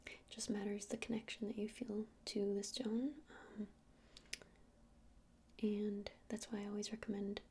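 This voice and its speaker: A woman playing a gentle crystal-shop witch in an ASMR roleplay, whispering breathily and explaining things unhurriedly with hesitant pauses. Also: mid-range voice, little pitch movement, slight background noise, close to an everyday voice. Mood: soothing, earnest. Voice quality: breathy whisper with creaky edges.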